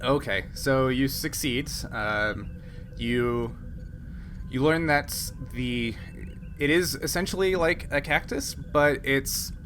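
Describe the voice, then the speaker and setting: A man acting as game master, narrating a tabletop roleplaying game and explaining rules in a halting, think-aloud way. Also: medium pitch, fairly bright tone, a little hiss or hum.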